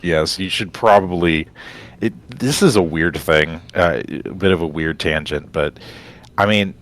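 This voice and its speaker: Male co-host, low pitch, casual reflective chat, halting, rambling delivery. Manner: halting, rambling, thinks aloud. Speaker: podcast co-host.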